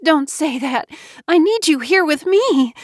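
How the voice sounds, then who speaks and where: A woman's voice: sits high, animated, measured pace, dry recording, clean studio sound, voice pushed up. A woman voicing a distraught young woman who pleads desperately with a dying friend in an audiobook drama. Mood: desperate pleading. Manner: pleading, anguished. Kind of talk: dramatic audiobook character acting.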